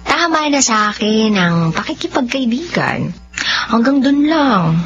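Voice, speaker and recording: A woman acts out a candid young woman in a Filipino radio drama. She speaks slowly with a lively, swinging melody and a lightly teasing manner. The sound is dull, with faint noise behind it. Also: a little high. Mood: respectful, exasperated. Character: young woman Venice, friendly, candid, wary of suitors. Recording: dull lo-fi audio with background noise.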